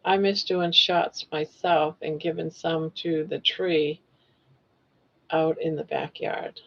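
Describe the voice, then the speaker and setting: A woman's voice: low voice, steady tone, slow pace, dull audio. A woman in her sixties hosting a casual live stream, chatting and joking and talking sweetly to her pets. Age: 60s. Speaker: livestream host.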